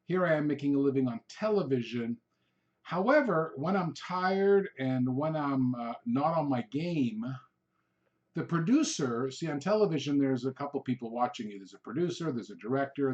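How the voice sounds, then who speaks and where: A man's voice: medium pitch, darkish tone. A man whose first language is Hungarian hosts a solo podcast, giving loose, rambling coaching talk with frequent "um" and "all right?" checks. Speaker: podcast host, real estate coach. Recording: dull lo-fi recording.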